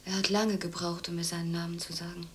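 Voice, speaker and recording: A reserved, unsettled woman played by an actress in a radio drama. She speaks tersely in a subdued, lowish voice, with a faint hum behind. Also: flat delivery, quick pace, fairly bright tone, natural voice.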